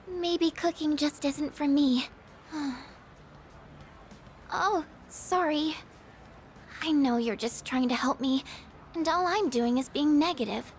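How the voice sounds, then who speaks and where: A woman playing a shy, gentle, insecure teenage girl in a video game, with a hesitant, apologetic, stammering way of talking. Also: sits high, takes it slowly, pauses often, a little hiss or hum. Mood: earnest, downhearted. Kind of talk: video game character dialogue voice acting.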